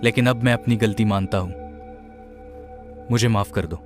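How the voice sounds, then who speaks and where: A man's voice: lowish voice, fairly even intonation, moderate pace, music in the background, close to an everyday voice. A young man playing a groom who earnestly recounts a painful past, heavy-hearted in tone. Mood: remorseful. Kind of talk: first-person story narration.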